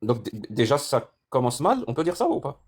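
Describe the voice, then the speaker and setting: A man's voice: mid-range voice, big pitch swings, a little fast, husky. A man who grew up speaking Arabic, hosting a live online debate and pressing his opponent with insistent, probing questions. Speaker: debate host.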